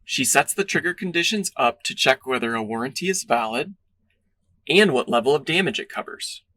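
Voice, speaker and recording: A man's voice: medium pitch, expressive, normal speed, sharp tone, no room sound. A man reading a scripted product demo narration in a polished, instructional way.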